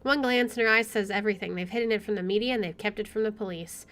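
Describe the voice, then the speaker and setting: Young woman gamer, medium pitch, reading aloud. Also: quick pace, fairly bright tone. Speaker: gaming streamer. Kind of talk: reading a visual novel aloud, with casual commentary.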